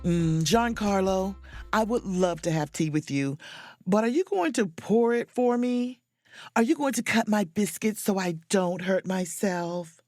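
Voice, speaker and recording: A woman playing a confident, sharp-witted, proud and flirtatious sleuth in an audio drama, with an emphatic, theatrical delivery. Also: medium pitch, animated, a little slow, dry recording, soft background music, lightly acted. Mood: indignant. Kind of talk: audio drama acting with first-person narration.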